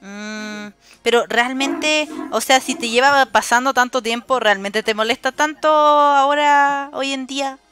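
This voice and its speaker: A woman's voice: high voice, measured pace, a bit bright, soft background music. A Chilean woman hosting a casual voice chat on her stream. She is chatty, uses a lot of slang and laughs often. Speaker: streamer host.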